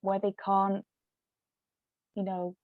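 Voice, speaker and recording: A woman's voice: mid-range voice, monotone, slow pace, no room sound. A British woman being interviewed as a writer, chatting casually and tentatively, often checking she is understood. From United Kingdom. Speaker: co-author and ghostwriter being interviewed. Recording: thin phone-like sound.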